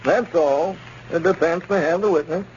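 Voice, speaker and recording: An actor playing a formal, pressing small-town lawyer in a 1930s radio comedy, questioning deliberately. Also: male voice, on the high side, darkish tone, slight background noise, close to an everyday voice. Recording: muffled 1930s radio recording.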